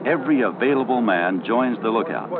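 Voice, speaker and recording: A man reading scripted training film narration in a formal, measured, explanatory way, on a 1970 film soundtrack. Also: mid-range voice, expressive, phone-quality sound, heavy background noise.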